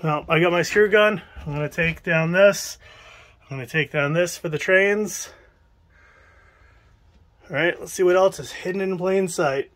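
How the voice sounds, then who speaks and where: A man's voice: high-pitched, measured pace, long pauses, a bit bright. A man filming himself with a handheld phone while he picks through a cramped wooden shed, musing aloud to viewers in a casual way. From New England. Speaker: estate sale buyer filming a shed cleanout. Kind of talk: casual running commentary while picking items. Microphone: handheld phone camera.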